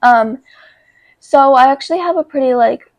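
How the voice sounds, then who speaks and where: A young woman vlogging casually about her life, congested with a cold, talking in an informal, halting way. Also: high voice, no room sound. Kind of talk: casual chat to camera.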